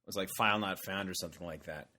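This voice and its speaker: A man's voice: deep voice, fast talker, crowd noise. A man co-hosting a podcast from home, chatting casually and joking with lots of fillers and restarts. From Canada. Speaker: podcast co-host. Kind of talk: casual chat. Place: at home in front of a computer.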